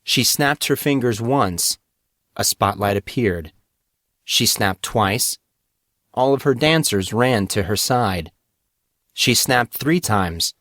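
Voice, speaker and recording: A man's voice: lowish voice, fairly bright tone, dry recording. Man narrating fiction, dry close sound. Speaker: audiobook narrator. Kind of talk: scripted fiction narration with acted dialogue.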